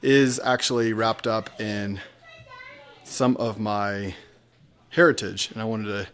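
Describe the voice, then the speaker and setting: A man's voice: fairly low pitch, slow. A young man from the United States, talking casually and conversationally to the camera for his vlog. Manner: relaxed, conversational. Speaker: vlogger.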